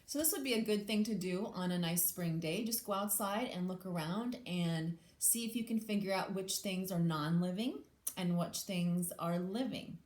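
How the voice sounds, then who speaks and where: A woman's voice: on the low side, moderate pace, a little mellow. Woman teacher reading aloud in an echoey room. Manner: simple explanatory asides and questions to young listeners. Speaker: English language teacher reading aloud to children. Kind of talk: children's picture book read-aloud with informal explanations.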